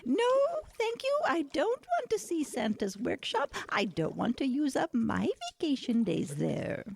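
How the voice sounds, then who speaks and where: High, creaky, genteel witch. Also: sing-song, a little mellow, full character voice. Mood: courteous. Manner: polite, deliberate, theatrical. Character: genteel, principled witch with sly courtesy. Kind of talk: radio-play character acting.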